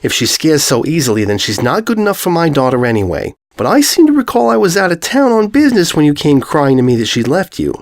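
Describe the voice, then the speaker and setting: A man's voice: a little high, sing-song, fast, hardly any pauses, no echo, studio quality, pitched above the performer's own voice. A man voicing a cheerful, teasing middle-aged pony mother who is doting, blunt and effusive in a storybook reading. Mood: wry, teasing. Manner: effusive, coaxing, uses endearments.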